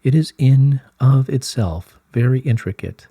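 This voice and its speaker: Slow, very mellow man, solemn and breathy. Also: mid-range voice, dry recording, clean studio sound. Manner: solemn, measured, soothing delivery. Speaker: reader of channelled spiritual messages. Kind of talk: slow scripted reading of spiritual guidance.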